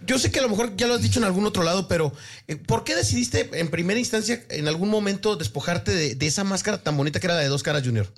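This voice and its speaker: A man's voice: sits high, lively intonation, quick pace. A man with a Mexican accent hosting a casual radio interview. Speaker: radio show host.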